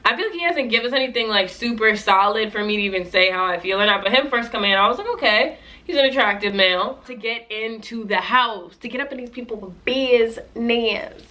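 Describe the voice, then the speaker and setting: A woman's voice: a little high, a little fast, hardly any pauses, bright, forceful tone. A young woman vlogger chatting casually in a playful, joking way about a show she watched. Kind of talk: casual reaction commentary.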